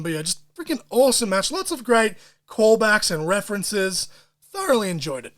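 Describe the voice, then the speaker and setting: A man hosting a solo podcast, talking in an excitable, profane way full of wrestling-fan slang. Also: high voice. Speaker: podcast host.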